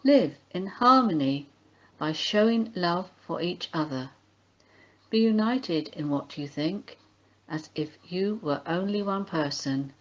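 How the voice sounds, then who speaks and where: A woman with a slightly low voice reads scripture aloud. She goes very slowly, phrase by phrase. The recording is somewhat dull and lo-fi. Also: lively intonation. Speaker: Bible reader.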